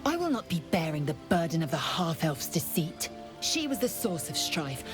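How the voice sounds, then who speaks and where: A woman's voice: low voice, very animated, moderate pace, over music. A woman playing a proud, hostile alien warrior in a video game, speaking in curt, scornful, formal phrases. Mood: stern.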